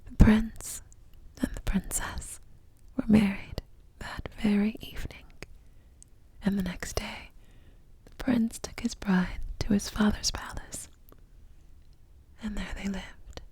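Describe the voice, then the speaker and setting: A woman whispering an ASMR bedtime story in short, soothing phrases with pauses between them. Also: medium pitch, fairly even intonation, mellow.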